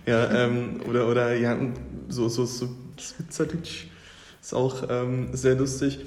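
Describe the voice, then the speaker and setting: A man's voice: medium pitch, flat delivery, unhurried, a little mellow. A man talking casually in a podcast discussion, hesitant and repeating words. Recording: echoey room with long reverb, faint music.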